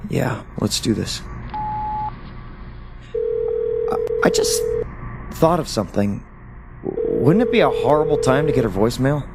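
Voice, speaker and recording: An actor in an audio play playing a calm, tender, wistful husband who is a dead spy, speaking in a reflective, hesitant way. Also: male voice, mid-range voice, unhurried, creaky, long pauses, heavy background noise. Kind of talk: audio drama dialogue.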